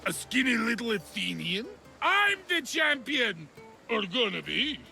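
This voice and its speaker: An actor playing a boastful, dim-witted male wrestling champion who talks with gravelly, slurring bluster in a video game cutscene. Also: extremely high pitch, big pitch swings, measured pace, sharp tone, faint music behind, heavily acted. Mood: boisterous.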